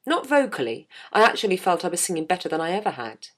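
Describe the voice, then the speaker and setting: Middle-aged woman, very low voice, dry humorous read of her own blog. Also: big pitch swings, fast talker, fairly bright tone. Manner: wry, self-mocking humour. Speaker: jazz singer and podcast host.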